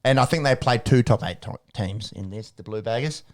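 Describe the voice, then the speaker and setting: A man's voice: fairly low pitch, a little fast. A man co-hosting a casual sports podcast, rambling with restarts and jokes. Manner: rambling, restarts, jokey, laughs often. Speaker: podcast co-host.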